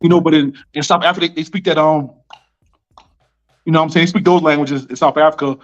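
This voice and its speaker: Casting: young American man, casual slangy interviewee, higher-pitched voice, dull lo-fi audio with faint music behind. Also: fairly even intonation, fast. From United States. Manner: laid-back, slangy, repeats himself. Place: Nairobi.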